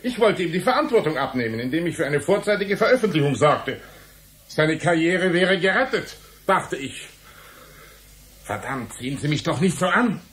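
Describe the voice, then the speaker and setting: A higher-voiced, stubborn, defensive journalist. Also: male voice, very animated, moderate pace, a bit bright. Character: defensive, stubborn male journalist confessing and justifying himself. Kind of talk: German radio play acting.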